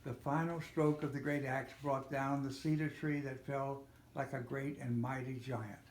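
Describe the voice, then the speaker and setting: An older man reading a children's storybook aloud, a little haltingly, with small slips and self-corrections. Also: medium pitch, level tone. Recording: roomy, echoey home recording with faint noise.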